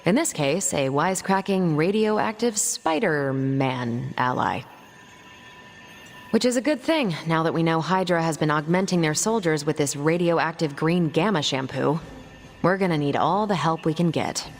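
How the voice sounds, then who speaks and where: A woman's voice: sits low, lively intonation, normal speed, slight background noise. A woman voicing a confident, dryly witty female superhero captain in a video game, with a breezy, assured delivery. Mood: wry, upbeat.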